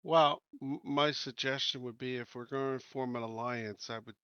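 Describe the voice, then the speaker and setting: A man playing a tabletop roleplaying game, talking plainly and deliberately as he negotiates in character. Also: medium pitch, fairly even intonation, a bit bright, clean tone, no room sound, dull audio. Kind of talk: casual in-character game talk.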